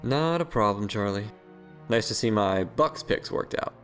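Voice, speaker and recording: Man acting jovial male scientist, bantering, mock-indignant, very animated delivery, music underneath, audio story. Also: on the low side, a little slow. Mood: friendly. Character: jovial, teasing male research scientist, football fan.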